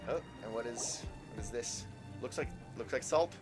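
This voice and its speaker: A man doing casual live gameplay commentary as a streamer, halting and repeating words as he thinks aloud. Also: medium pitch. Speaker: game streamer. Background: game music.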